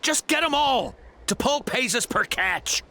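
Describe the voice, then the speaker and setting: Cowardly game poacher: high, jumpy, stammering, whiny. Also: big pitch swings, slow pace, vocal fry, pushed and bright, a little hiss or hum, exaggerated voice. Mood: panicky. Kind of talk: game dialogue.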